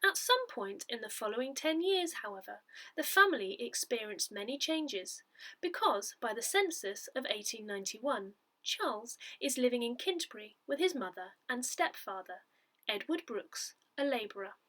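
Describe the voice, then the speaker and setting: A woman narrates from a prepared script in a fairly high voice. Her intonation rises and falls a great deal. She keeps a measured delivery and enunciates with care, like a reader of an audio newsletter. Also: very animated, sharp tone. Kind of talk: scripted narration, reading a written article aloud.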